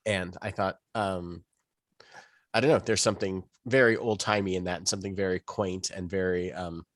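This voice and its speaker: Male podcast co-host, low register, dry close sound, loose rambling delivery with trailing asides. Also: fairly even intonation, normal speed, dry recording. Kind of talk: casual podcast discussion.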